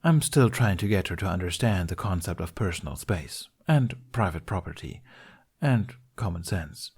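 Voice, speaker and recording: A man's voice: sits low, lively intonation, moderate pace, darkish tone, breathy edge, full character voice. A man voicing a playful, curious young man with a cheeky, eager manner in a story reading. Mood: amused.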